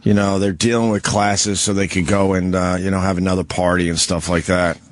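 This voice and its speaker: A man's voice: sits low, level tone, brisk, no room sound. A man co-hosting a radio show in casual, teasing banter, a little sniffly. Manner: teasing, rambling with fillers. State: slightly sniffly.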